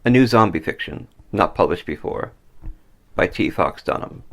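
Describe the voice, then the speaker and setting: Low, gravelly, dramatic male storyteller. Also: lively intonation, moderate pace. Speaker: author reading own story. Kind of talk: dramatic scripted story narration.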